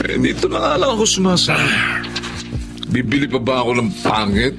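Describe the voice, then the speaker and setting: Casting: self-pitying Filipino widower, middle-aged, hard-drinking, lively intonation, radio drama over heavy music. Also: male voice, mid-range voice, brisk, gravelly. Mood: carefree, tipsy. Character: grieving widowed man, hard-drinking, stubborn, despondent son.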